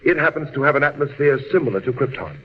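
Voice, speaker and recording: A man's voice: mid-range voice, lively intonation, quick pace, lightly acted. A man acting in a 1940s radio drama, playing an earnest alien scientist and father facing doom, grave and theatrical. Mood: grave, reassuring. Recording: dull, very noisy old radio recording.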